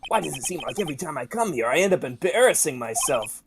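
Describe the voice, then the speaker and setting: A woman's voice: low voice, big pitch swings, fast talker, background noise, pitched below the performer's own voice. A woman voicing an earnest, idealistic defense lawyer with dramatic resolve in a live visual novel read-through. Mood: puzzled. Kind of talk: live group voice-over of a visual novel game.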